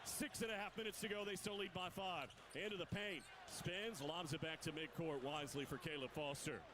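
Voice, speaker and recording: A man calling a live college basketball game on radio, his voice climbing with each big play. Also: fairly high pitch. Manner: rapid, rising excitement on big plays. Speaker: play-by-play announcer. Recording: broadcast with loud arena noise.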